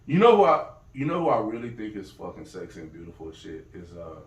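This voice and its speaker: A man's voice: fairly low pitch, big pitch swings, fast talker. A man chatting casually on a podcast in an opinionated, slangy and profane way, often repeating himself for emphasis. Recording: roomy echo, distant from mic.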